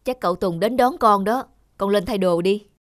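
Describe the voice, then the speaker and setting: Woman as a gentle, reassuring cartoon mother. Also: mid-range voice, normal speed, noisy background, close to an everyday voice. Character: kindly middle-aged mother, reassuring her daughter. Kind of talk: scripted cartoon dialogue.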